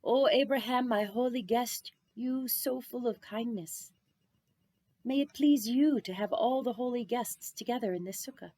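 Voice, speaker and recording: A woman voicing a kindly, devout, weary male rabbi in a storybook, with an expressive, sometimes chanting delivery. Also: fairly high pitch, unhurried, voice pushed up. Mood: reverent chanting.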